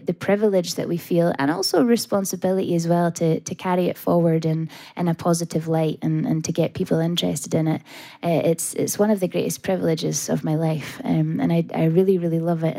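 A Scottish woman, a folk singer, talking softly with many pauses and fillers about her background. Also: fairly low pitch, creaky. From Scotland. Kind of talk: interview and live stage talk.

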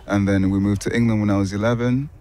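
A young man from Finland, a musician guest answering interview questions in a park in a relaxed, thoughtful way with hesitant restarts. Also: on the low side, fast. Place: city park outdoors.

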